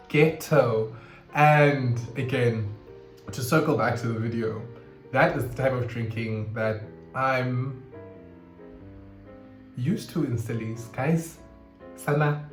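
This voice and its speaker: A young man, chatting casually to camera in an animated way and breaking into sudden high squeals. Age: 20s. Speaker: vlogger. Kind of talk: casual confessional chat to camera. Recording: roomy echo, faint guitar music behind. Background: faint guitar music.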